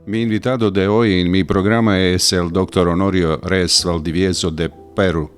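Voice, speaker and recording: A man hosting an interview in a language that is not his first, speaking haltingly with many pauses and fillers. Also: low-pitched, fairly even intonation, soft background music. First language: not Spanish. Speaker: host. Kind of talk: interview podcast. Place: home, video call.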